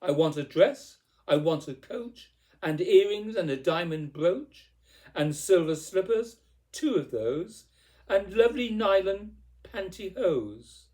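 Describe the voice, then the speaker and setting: A man voicing a bossy, jealous young Cinderella in a storybook poem, loud, demanding and exclamatory. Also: mid-range voice, animated, slow pace. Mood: demanding, exasperated.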